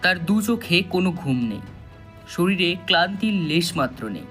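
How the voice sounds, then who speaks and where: A man tells a scripted thriller as its narrator. His voice sits a little high, the delivery is dramatic and suspenseful, and music runs behind it. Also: big pitch swings, moderate pace, fairly bright tone. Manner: suspenseful storytelling. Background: background music.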